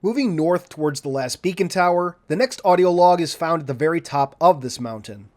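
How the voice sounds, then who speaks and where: A man reading a scripted video game walkthrough in a measured, orderly, instructional way. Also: on the high side, animated. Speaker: video game guide narrator. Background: background music.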